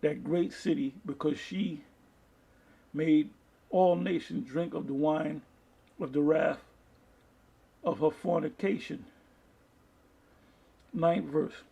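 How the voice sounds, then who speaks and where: Male lay preacher, slightly high but husky voice, stop-start reading with asides. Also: fairly even intonation, mellow. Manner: halting, repeats and restarts phrases. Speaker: preacher reading articles and scripture to an online audience. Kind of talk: informal video sermon, reading aloud with commentary.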